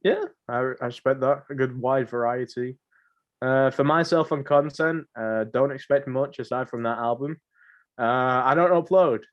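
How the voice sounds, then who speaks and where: A man's voice: medium pitch, normal speed, lo-fi sound. A man hosting a casual podcast chat, somewhat hesitant and often repeating his phrases. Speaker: podcast host.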